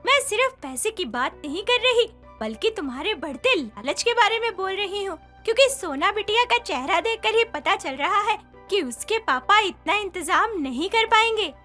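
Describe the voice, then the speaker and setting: A woman's voice: very high voice, lively intonation, normal speed, few pauses, bright, forceful tone, faint music behind, exaggerated voice. Woman voicing an outspoken honest neighbor. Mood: reproachful. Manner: earnest, reproachful.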